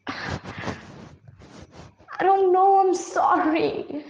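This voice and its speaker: Casting: actress as guilty, placating twin sister, slow halting apologies, melodrama, echoey room sound. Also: female voice, very high-pitched, fairly even intonation, a little mellow, slight background noise, full character voice. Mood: pleading. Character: guilty, pleading twin sister seeking forgiveness. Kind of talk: melodramatic audio-drama acting. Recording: echoey room, slightly muffled.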